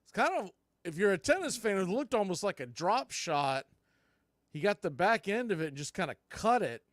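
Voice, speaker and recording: A man's voice: high voice, big pitch swings, moderate pace, fairly bright tone, no echo, clean studio sound. A man doing live baseball play-by-play as a stream host, mixing clipped calls with folksy, joking asides and casual chat.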